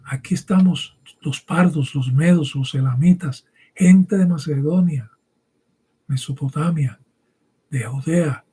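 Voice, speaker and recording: A man's voice: on the high side, dark tone, slightly rough. A man raised bilingual in English and Spanish, preaching a didactic, emphatic teaching sermon and often checking in with short rhetorical questions. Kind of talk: teaching sermon with scripture reading.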